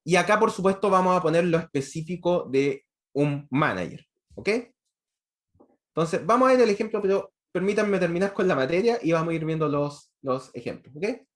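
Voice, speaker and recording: A man's voice: fairly high pitch, expressive, normal speed. A man giving an online university lecture on programming, explaining step by step and checking in with frequent rhetorical questions.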